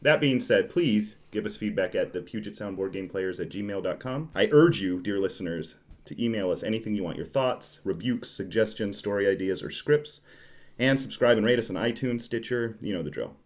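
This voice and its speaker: A man's voice: on the low side, expressive, moderate pace. A man hosting a podcast in casual, joking conversation. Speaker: podcast host.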